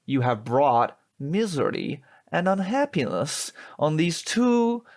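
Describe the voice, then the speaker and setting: A man playing a prim, smugly polite young replacement son in a comic audio drama, formal, measured and reproachful. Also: fairly high pitch, big pitch swings, full character voice.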